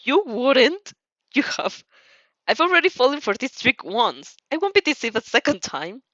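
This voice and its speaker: A woman's voice: high-pitched, big pitch swings, moderate pace, fairly bright tone, dry recording, lo-fi sound, voice pushed up, exaggerated voice. A woman voicing a flustered, stubborn teenage schoolgirl, pouty and indignant, in an anime fan story.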